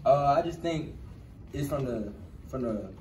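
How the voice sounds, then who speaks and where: A young man in his twenties, a college football player answering questions at a post-game press conference, talking quickly in relaxed slang and repeating phrases. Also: medium pitch, muffled recording. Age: 20s. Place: crowded press room indoors. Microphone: cluster of handheld press mics. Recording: roomy distant press room with noise.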